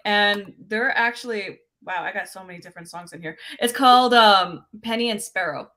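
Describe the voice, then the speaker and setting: A young woman, a singer-songwriter guest, chatting casually in an interview, bubbly and quick to laugh. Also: medium pitch, sharp tone.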